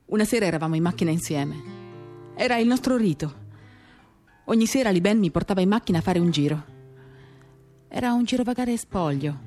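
Lower-pitched woman reading over guitar. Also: darkish tone. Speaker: reader of a novel for radio theatre. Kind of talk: dramatic literary reading, first-person confiding narration. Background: acoustic guitar music.

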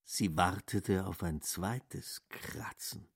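A man reads literary narration in a radio play. His voice sits very low and has a husky, breathy grain. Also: slow pace.

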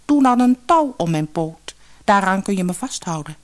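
A woman's voice: mid-range voice, very animated, normal speed, natural voice. A woman voicing an earnest, persuasive male dove in a storybook tale. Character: earnest talking male dove, captive chief. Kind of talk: storybook character voice.

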